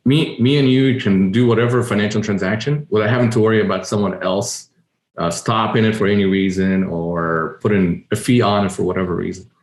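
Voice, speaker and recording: A man from Egypt, a company founder, answering interview questions in a casual, relaxed way. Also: fairly low pitch, brisk, echoey room.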